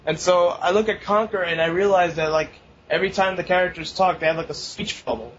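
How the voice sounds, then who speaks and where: A man's voice: sits high, steady tone, a bit bright. Young man chatting in a lo-fi recording. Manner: laughs often, swears, fast-rambling. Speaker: podcast co-host. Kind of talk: casual chat. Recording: dull lo-fi recording, faint noise.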